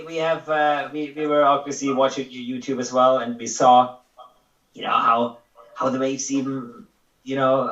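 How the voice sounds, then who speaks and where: A man's voice: mid-range voice, a bit bright, far from the mic, lo-fi sound. Friendly laughing male host, roomy sound. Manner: friendly, laughs, explains for viewers. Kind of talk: casual interview hosting.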